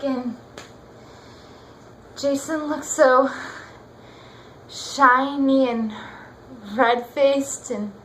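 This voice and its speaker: A young woman performing a wistful, bitter jilted fiancée in an amateur stage monologue, with halting dramatic pauses. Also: high-pitched, slight background noise. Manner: halting, dramatic pauses, repeating words for weight.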